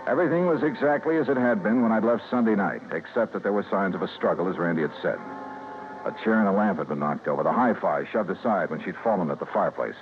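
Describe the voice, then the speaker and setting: A man's voice: low voice, fast talker, close to an everyday voice. A man with an American accent playing a hard-boiled insurance investigator in a golden-age radio drama, speaking in an emphatic, clipped manner. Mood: wry narration. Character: hard-boiled male insurance investigator, anguished and indignant as a suspect. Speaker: lead actor and narrator. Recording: muffled, noisy vintage radio recording.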